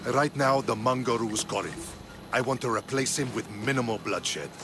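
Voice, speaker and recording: An actor playing an earnest, principled Spartan soldier in a video game, speaking in a measured, dramatic way. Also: male voice, medium pitch, noisy background, natural voice. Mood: earnest, resolute. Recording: music behind the voice.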